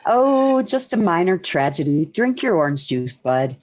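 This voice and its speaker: Low-voiced, placating radio mother. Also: big pitch swings, a little slow, darkish tone, a little hiss or hum. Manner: soothing, mildly scolding. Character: calm, placating suburban mother, gently firm. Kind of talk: 1940s radio sitcom acting. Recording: dull vintage 1940s radio recording.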